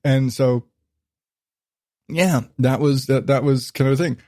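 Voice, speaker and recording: A man's voice: mid-range voice, fairly even intonation, measured pace, a little mellow, no echo, studio quality. A man in his thirties hosting a casual solo podcast, rambling and swearing freely, still getting over a cold with a lingering cough. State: recovering from illness, lingering cough. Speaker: podcast host.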